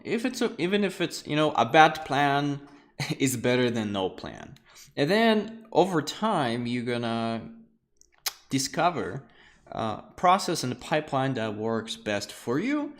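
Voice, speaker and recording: Animated Russian-speaking man streaming a lecture. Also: mid-range voice. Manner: animated, chatty, laughs often, loose wording. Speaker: art lecturer streaming a class. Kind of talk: casual livestream lecture.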